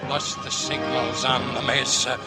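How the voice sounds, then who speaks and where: An actor playing a fierce, solemn war chief, declaiming rhythmic verse in an old radio drama. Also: male voice, mid-range voice, little pitch movement, measured pace, lightly acted. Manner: solemn, rhythmic, swelling intensity. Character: fierce, solemn Apache war chief rallying his horsemen. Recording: vintage radio broadcast under music.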